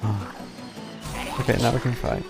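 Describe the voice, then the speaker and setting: A man doing casual live game commentary as a streamer, with rambling, offhand asides and a gravelly voice. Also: mid-range voice, sing-song, moderate pace, music in the background.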